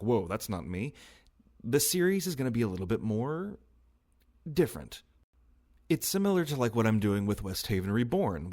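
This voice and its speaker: A man's voice: on the low side, darkish tone. A man who makes roleplay stories, casually introducing one to his viewers. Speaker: creator and narrator of roleplay series.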